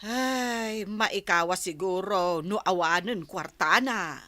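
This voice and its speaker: A sympathetic Filipino neighbor lady with an Ilocano accent in a radio comedy-drama. She speaks slowly and deliberately in a bright, mid-pitched voice, close and dry. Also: dry recording. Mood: curious, matter-of-fact. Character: unhurried, sympathetic woman neighbor.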